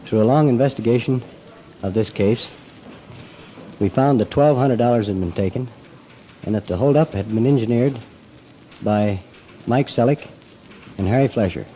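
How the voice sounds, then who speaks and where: A man giving a formal, somewhat halting prepared statement as an official on an old radio program. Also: mid-range voice, warm tone. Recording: dull, noisy old location recording.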